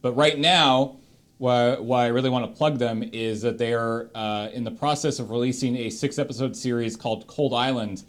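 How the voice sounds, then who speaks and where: A man's voice: mid-range voice, fairly even intonation, normal speed. A man with a Canadian accent, casually and enthusiastically giving recommendations as a panelist on a livestream.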